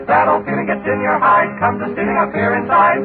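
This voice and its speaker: A man's voice: fairly quick, loud music behind the voice. Male singers in a vocal group crooning a bouncy, playful 1940s close-harmony novelty song. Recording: scratchy narrow 1940s radio broadcast with band.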